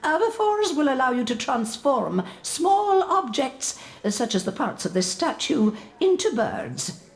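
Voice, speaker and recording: Stern British witch professor, animated. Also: on the high side, exaggerated voice. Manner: formal, precise, stern. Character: strict, brisk female witch professor, authoritative.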